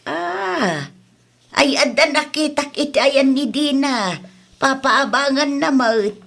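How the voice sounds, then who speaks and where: A woman's voice: sits high, expressive, takes it slowly, vocal fry, fairly bright tone, exaggerated voice. An elderly village mother, patient and concerned, as acted by a woman. She speaks with an Ilocano accent in a homely comedy drama.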